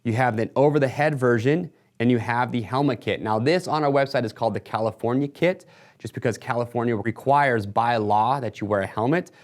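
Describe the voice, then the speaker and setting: A man's voice: mid-range voice, a little fast. A man presenting a retail product walkthrough in a casual, explanatory sales manner.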